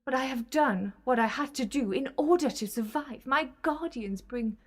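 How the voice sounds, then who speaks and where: High-voiced, imperious villainess, played by a woman. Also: moderate pace, breathy edge. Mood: cold, resigned. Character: desperate, menacing female Time Lord villain, scheming and bitter. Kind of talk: sci-fi audio drama acting.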